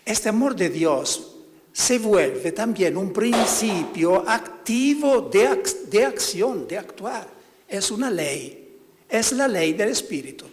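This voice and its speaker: Male priest, slightly high voice, halting delivery, searches for words, long hall reverb. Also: animated, noisy background. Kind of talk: spiritual talk to fellow priests.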